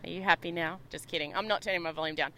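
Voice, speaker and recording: A woman from Sydney with a loud, bubbly, chatty manner, giving live commentary on a sailing race from a media boat. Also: fairly low pitch, steady tone, very fast, slight background noise, poor audio. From Australia.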